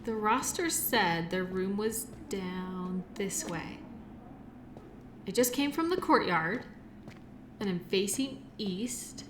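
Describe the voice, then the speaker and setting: A woman's voice: medium pitch, lively intonation, slow pace, noisy background. A woman playing a shy, awkward, kindly medical worker in an audio roleplay, talking in a hesitant, rambling, apologetic way. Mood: musing, nervous. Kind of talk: audio roleplay acting, addressing the listener.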